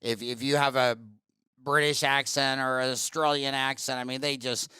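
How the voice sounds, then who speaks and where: Guy hosting a podcast, rambles, lots of ums, smooth voice, dry close mic. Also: medium pitch, little pitch movement, moderate pace, sharp tone, no room sound. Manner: rambling, searches for words with many ums. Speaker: podcast host. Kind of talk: casual interview chat.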